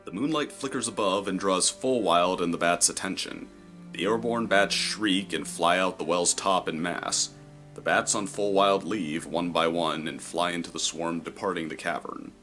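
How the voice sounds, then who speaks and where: A man reading scripted story narration in a measured, descriptive way. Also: low-pitched, a bit bright, faint music behind.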